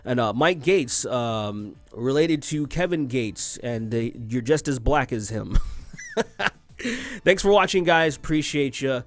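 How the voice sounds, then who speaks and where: A man's voice: mid-range voice, animated, slow, faint music behind. A young man hosting a commentary show, ranting crudely and sarcastically and laughing at his own jokes. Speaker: commentary video host. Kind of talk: ranting commentary on tweets and news.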